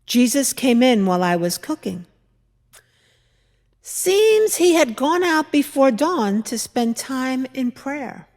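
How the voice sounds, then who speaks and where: A woman performing a dramatic monologue as a skeptical older mother-in-law from biblical times, telling her memories in a deliberate, expressive, reflective way. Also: high voice, exaggerated voice. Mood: warm remembering. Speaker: dramatic reader at a church service. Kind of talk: dramatic monologue, measured storytelling. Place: large church interior. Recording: echoey church hall, long reverb.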